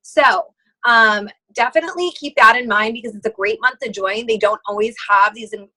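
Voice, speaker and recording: A woman on a conference call giving a casual motivational sales pitch, earnest and encouraging in manner. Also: normal speed, pushed and bright, rough recording. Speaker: sales team member.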